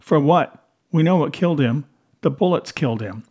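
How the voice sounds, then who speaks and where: A grieving mother, played by a male audiobook narrator, speaks with bitter force. The voice is lifted in pitch and loud, demanding and wounded. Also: expressive, normal speed, breathy edge. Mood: bitter grief.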